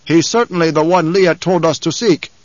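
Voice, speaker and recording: A man's voice: fairly high pitch, dry recording, dull audio, pitched above the performer's own voice, lightly acted. A man playing a commanding, stern desert chieftain. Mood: firm. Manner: formal, measured, authoritative. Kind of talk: audiobook character acting.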